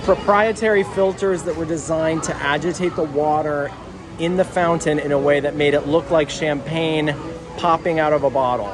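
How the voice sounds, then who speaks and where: Male producer, off camera, calm and informative, outdoors. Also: a little high, normal speed. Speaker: video producer. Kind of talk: off-camera explanation. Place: outdoors at a theme park.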